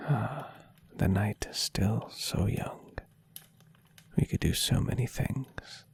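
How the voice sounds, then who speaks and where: A man plays a centuries-old vampire boyfriend for intimate ASMR. His low voice is very breathy and husky, often close to a whisper. He murmurs slowly and tenderly, adoring and playfully teasing. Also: full character voice. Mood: devoted. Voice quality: breathy, husky half-whisper with gravelly creak. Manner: soft, soothing, affectionate murmuring.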